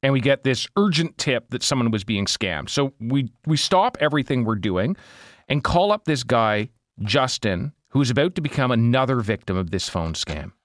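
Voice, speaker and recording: A man's voice: medium pitch, expressive, normal speed, dry recording. Conversational male journalist, dry close sound. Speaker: investigative journalist telling of a story. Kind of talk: conversational storytelling interview.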